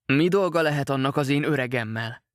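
A man's voice: medium pitch, no echo, studio quality, natural voice. A man voicing a worried, talkative boatman's wife, rambling on about her absent husband in an anxious, chattering way. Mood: fretful chatter.

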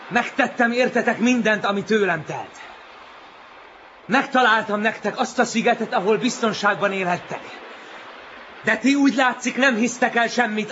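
A man's voice: very high-pitched, sharp tone, dull audio, a little hiss or hum, heavily acted. A man playing a proud, defiant young male seal leader in an animal story drama, earnest and challenging. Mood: proud rallying.

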